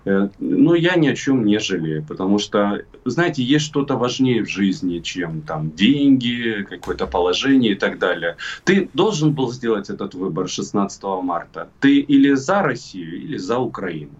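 A middle-aged man with a lowish voice, heard through a muffled, distant-sounding connection. He is from Crimea and answers as a political commentator, deliberately and emphatically, with hesitations between his points. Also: sing-song, breathy edge. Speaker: expert guest, political commentator.

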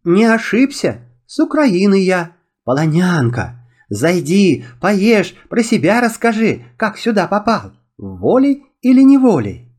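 Male narrator as young storybook maiden, high, kindly, lilting, curious, animated. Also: a little slow, exaggerated voice. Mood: welcoming. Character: kindly young captive peasant girl, hospitable and curious.

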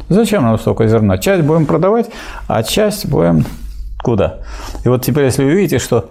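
A man in a casual discussion, talking animatedly, with sharp pitch jumps for emphasis and mocking quotes of others. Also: gravelly, husky.

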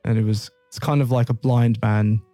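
A man's voice: medium pitch, fairly even intonation, normal speed, dark tone, faint music behind. A man chatting casually as host of a discussion. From Brazil and the UK. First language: English. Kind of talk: casual chat.

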